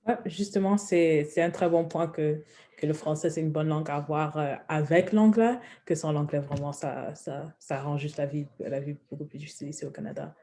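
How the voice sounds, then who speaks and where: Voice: mid-range voice, fairly even intonation, normal speed, dark tone. A moderator of a radio forum discussion, inviting the panel to share their views.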